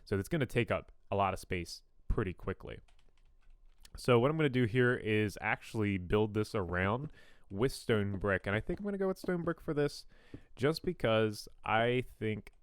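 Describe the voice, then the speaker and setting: A young man with a low, smooth, radio-style voice talks through a game build in a relaxed, rambling way. He hedges and restarts, poking fun at his own work. It's recorded close on a good studio mic with a dry, clean sound. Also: moderate pace, no room sound. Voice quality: fairly deep radio-style voice. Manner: rambling, self-deprecating, frequent ums and restarts. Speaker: gaming YouTuber. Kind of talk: casual commentary while building in a game. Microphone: new studio condenser mic.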